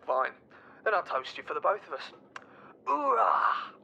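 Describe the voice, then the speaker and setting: An affectionate, upbeat boyfriend on a lo-fi comm link in an audio drama. His voice is gravelly and sits fairly high for a man, with an encouraging manner. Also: sing-song, unhurried, sharp tone, exaggerated voice. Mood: breezy. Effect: voice over a radio-phone link. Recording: dull lo-fi call link with background noise.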